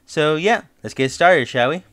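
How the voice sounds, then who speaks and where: A man's voice: fairly high pitch, animated, normal speed, fairly bright tone. Rambling man recapping a horror series. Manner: loose, rambling with fillers and self-corrections. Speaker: channel host reviewing a horror series. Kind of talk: casual review and story recap.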